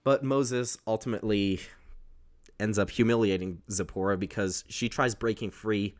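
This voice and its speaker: Rambling young baritone man recapping a film. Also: steady tone, normal speed. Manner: rambling, self-correcting, with restarts. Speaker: host. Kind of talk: casual movie recap.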